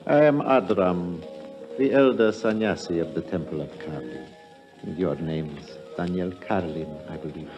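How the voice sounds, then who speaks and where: A male actor as an aging, enigmatic temple elder from a vintage radio play. His voice is very low and mellow, with formal, courteous phrasing and steady calm. The old 1950s recording is dull, with faint music. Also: normal speed, close to an everyday voice. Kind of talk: 1950s radio drama acting.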